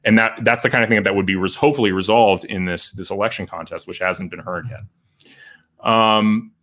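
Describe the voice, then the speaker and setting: A man hosting a livestream, giving legal commentary and answering viewer comments, often halting and restarting as he thinks aloud. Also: on the low side, fairly even intonation, bright, forceful tone. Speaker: lawyer and show host.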